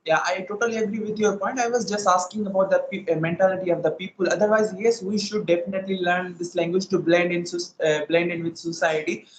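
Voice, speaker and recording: High-voiced young man, curious, echoey. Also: steady tone, slight background noise. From South Asia. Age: 20s. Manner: inquisitive, poses questions, quick bursts. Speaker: conversation partner. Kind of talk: casual conversation practice. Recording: echoey room recording.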